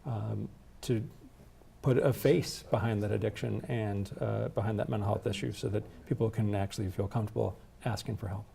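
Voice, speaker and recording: Man, expert interview guest, lowish pitch, dark mellow tone, measured, deliberate, explanatory. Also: fairly even intonation, creaky, breathy edge. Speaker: interview guest, healthcare executive. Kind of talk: explanatory advocacy in conversation.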